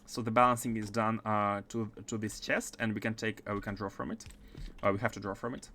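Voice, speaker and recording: A man's voice: on the low side, brisk, a little hiss or hum. A man with a mixed Russian and French accent giving casual, filler-heavy commentary while he plays a game. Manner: chatty, many fillers, bursts of excitement. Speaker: game streamer.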